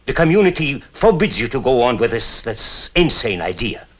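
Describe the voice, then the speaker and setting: A man's voice: mid-range voice, big pitch swings, normal speed. An older actor playing an elderly community elder who pleads earnestly and fatherly with an old friend. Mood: stern. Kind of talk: 1960s TV crime drama acting.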